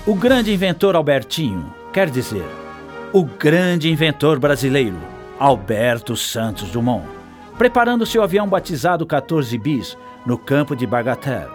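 A man playing a grandiose, excitable narrator of a historic event in a dramatic, celebratory radio style. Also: mid-range voice, normal speed, lightly acted. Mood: grand. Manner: pompous, celebratory. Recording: loud music behind the narration.

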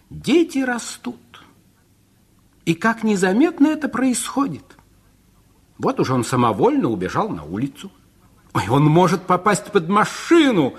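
An actor narrating a radio play as a musing storyteller, with theatrical exclamations and dramatic pauses. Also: male voice, high-pitched, slightly rough, exaggerated voice. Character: male storyteller, musing and fretting over growing children.

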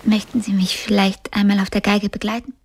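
A woman's voice: mid-range voice, little pitch movement, a little fast, darkish tone, slightly rough, natural voice. A woman playing an exhausted, sensitive young blind musician in a radio drama, with a plaintive delivery. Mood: quietly eager.

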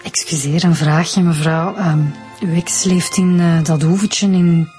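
An actress with a Flemish accent playing a polite, persistent police inspector with a hesitant, probing manner in a radio drama. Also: female voice, lowish voice, steady tone, a little slow, dark tone, faint music behind, lightly acted.

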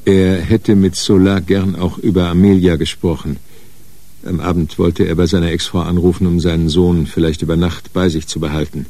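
A man narrating a radio crime drama in a sober, matter-of-fact, measured way. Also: deep voice, level tone, mellow. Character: sober narrator of a hard-boiled crime story.

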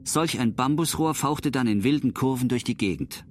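A man's voice: mid-range voice, animated, moderate pace, noisy background. A man reading scripted documentary narration as a storyteller of history.

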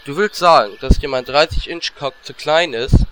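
A man's voice: fairly high pitch, normal speed, a bit bright, a little hiss or hum, close to an everyday voice. A man playing a cocky, lecherous little gnome adventurer in a comedy audio drama, brash and cheeky.

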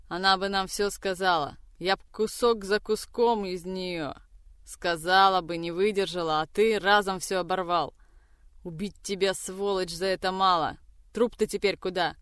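A woman's voice: lowish voice, fairly bright tone, clean tone, dry recording, studio quality. A woman voicing a cruel older woman who tortures a captive, with vicious threats and shrieking anger. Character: cruel brutal older woman gang mother. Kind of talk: audiobook reading.

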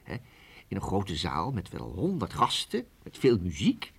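A man playing an absent-minded, enthusiastic inventor professor with a husky voice and halting, stammering speech in a children's radio play. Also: low voice, huge pitch swings, exaggerated voice. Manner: halting, stammering, repeating words.

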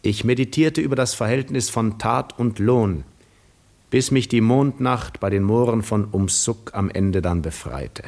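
An actor playing a weary, reflective Greek war hero in a 1950s radio drama, telling his own story in measured, literary, ironic phrases. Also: lowish voice, vocal fry, a little mellow. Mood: wry, reflective.

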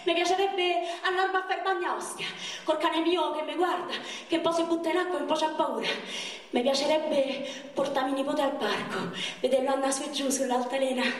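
A woman's voice: sits high, fairly even intonation, a little fast, lightly acted. A woman on stage playing a wounded, pleading young man, with a Roman accent and raw, colloquial, repetitive speech. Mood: wistful. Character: wounded young man of thirty-one, pleading, wistful, foul-mouthed. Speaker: stage actor. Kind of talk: theatrical monologue in dialect. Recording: echoey theatre, distant microphone.

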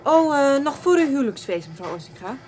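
An eager young woman in a radio play, curious and well-mannered. Her voice is distinctly high. The intonation is animated and keen. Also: brisk. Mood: curious, polite. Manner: curious, polite. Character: eager, polite young woman, a farmer's fiancée. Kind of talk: radio drama dialogue.

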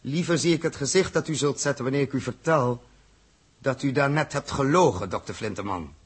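An actor playing a suspicious, commanding space captain in a 1960s Dutch radio drama, clipped and confrontational. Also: male voice, mid-range voice, a little fast, slightly rough, lightly acted.

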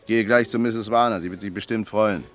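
A man's voice: fairly low pitch, fairly even intonation, brisk, natural voice. An actor playing a brusque older man living under a false name, who turns to musing and confiding in a radio play.

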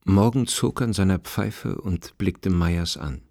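A man acting as the detached narrator of a radio drama, reading literary prose in a measured, matter-of-fact way. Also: sits low, gravelly, heavily acted. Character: measured, detached male storyteller. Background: plucked guitar music.

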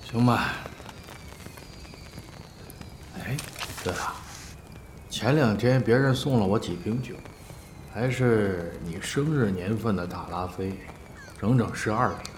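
A man's voice: on the low side, slight background noise, lightly acted. Echoey, authoritative middle-aged boss played by a man. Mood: indulgent. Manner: measured, authoritative, elder's casual grumbling. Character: wealthy older male business boss, proud, indulgent elder. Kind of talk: modern Chinese audio drama acting.

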